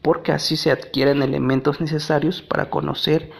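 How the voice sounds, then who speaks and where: A man from Mexico whose first language is Nahuatl, reading a language lesson in a measured, deliberate way. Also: on the high side, level tone, vocal fry, breathy edge. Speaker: language teacher.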